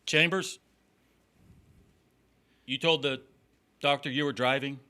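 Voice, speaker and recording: A man plays a skeptical district attorney in a noir stage play. His mid-pitched voice is bright and forceful. He probes coolly, with dry wry authority. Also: steady tone, slow. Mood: probing. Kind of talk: noir stage drama dialogue.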